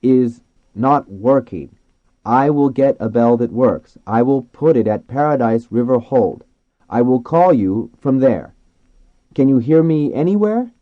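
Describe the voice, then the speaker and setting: A man voicing a friendly, earnest fisherman in an audiobook, speaking loudly and deliberately in simple, careful phrases. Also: on the low side, big pitch swings, slightly rough, no echo, narrow, tinny audio, voice pushed up, exaggerated voice. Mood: earnest, reassuring. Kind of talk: audiobook dialogue.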